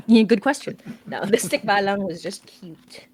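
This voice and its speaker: A woman's voice: medium pitch, animated, a little slow. A woman in her thirties from the Philippines, hosting a casual stream and chatting with enthusiasm and frequent giggles. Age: 30s. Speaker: podcast host and guest writer.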